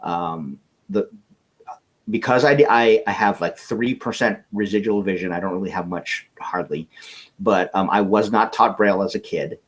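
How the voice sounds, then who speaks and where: A man from California with a lowish voice speaks as an interview guest. He tells his life story earnestly and in conversation, often stumbling and restarting words as he thinks. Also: moderate pace, slightly rough.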